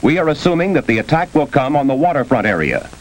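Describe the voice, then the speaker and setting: A man's voice: a little high, big pitch swings, fast talker. A man with an American accent playing an urgent, official civil defense radio announcer in a 1950s film. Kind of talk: 1950s emergency radio bulletin. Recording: noisy old 1950s film soundtrack.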